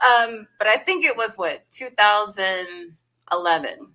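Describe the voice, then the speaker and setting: A woman guest telling the story of meeting her husband in a relaxed, chatty way, laughing often. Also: mid-range voice, a little slow. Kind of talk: casual chat.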